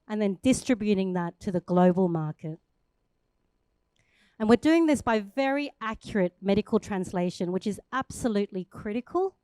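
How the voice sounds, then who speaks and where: A woman in her forties, a native Thai speaker from Thailand, giving an upbeat, chatty startup pitch on stage and laughing at herself now and then. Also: darkish tone, clear, resonant tone, no room sound.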